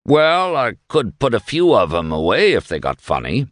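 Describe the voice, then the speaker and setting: A man's voice: medium pitch, huge pitch swings, normal speed, a bit bright, no room sound, pitched above the performer's own voice. A man voicing a crude, smug fascist militia boss in an audiobook, slangy, boastful and condescending. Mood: suspicious, cocky.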